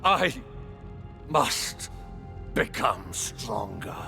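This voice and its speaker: An actor playing an earnest, driven knight in a fantasy video game, with an urgent, emphatic delivery. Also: male voice, medium pitch, sing-song, very slow, gravelly, over loud music, exaggerated voice. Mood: grimly resolved. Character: earnest, driven male knight, vengeful young warrior. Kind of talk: dramatic video game acting.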